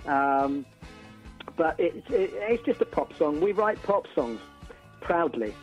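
A man with a fairly high voice gives a casual radio interview as a band's frontman. He starts phrases hesitantly, often repeating words, over a faint bed of music. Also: big pitch swings, slow pace, highs cut off. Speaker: band frontman, interview guest.

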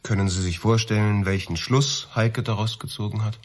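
An actor playing a stern, commanding police detective who lays out accusations matter-of-factly in a radio crime drama. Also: male voice, lowish voice, steady tone, moderate pace, close to an everyday voice. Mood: accusing.

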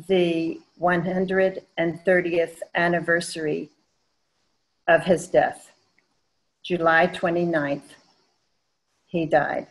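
Older Southern woman, unhurried lecturer on a webcam, slightly low voice, many small pauses. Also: rough recording. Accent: Southern American, Georgia. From Georgia, USA. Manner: slow, measured, with frequent "um" pauses. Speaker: artist giving a lecture. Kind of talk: online lecture. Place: home studio wall hung with paintings, indoors. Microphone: video call webcam.

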